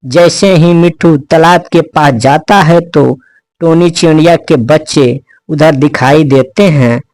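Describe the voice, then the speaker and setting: A man's voice: on the high side, fairly even intonation, unhurried, darkish tone, no room sound. A man narrating a scripted children's animal story as the storyteller.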